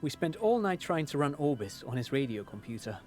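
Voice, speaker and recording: A man's voice: mid-range voice, big pitch swings, brisk, darkish tone, faint music behind, close to an everyday voice. An actor playing a chatty father in a video game who lectures on local history in a professorial cadence. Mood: lecturing. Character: chatty adult father, trivia-loving local history buff.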